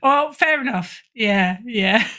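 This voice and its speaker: A woman's voice: fairly high pitch, lively intonation, very slow, bright, forceful tone. A woman speaking as an editor guest in a casual interview, emphatic and opinionated in a conversational way. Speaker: podcast guest, fiction editor.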